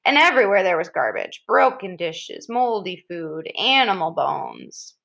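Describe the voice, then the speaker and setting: A woman's voice: fairly low pitch, very animated, unhurried, gravelly, fairly bright tone. A woman reading an informational article aloud expressively, like a teacher, leaning on and drawing out key descriptive words.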